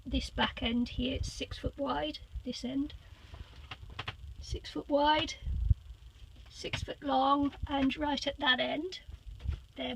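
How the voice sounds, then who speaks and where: An older woman with a squeaky voice giving a rambling, hesitant craft room tour from a small boat cabin, filming on a handheld phone. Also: creaky, slight background noise, poor audio. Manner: rambling, hesitant with many fillers, giggly. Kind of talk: casual live-stream tour.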